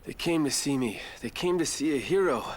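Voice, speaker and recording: An actor playing a good-natured young muscular hero in a video game, sincere and wholesome. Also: male voice, a little high, animated, brisk. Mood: earnest, weary.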